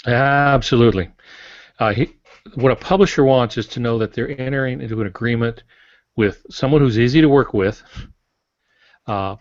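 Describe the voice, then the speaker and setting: A man speaking as a guest author on a podcast, explaining things conversationally in an informal, folksy, opinionated way. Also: lowish voice. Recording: roomy, dull lo-fi podcast audio.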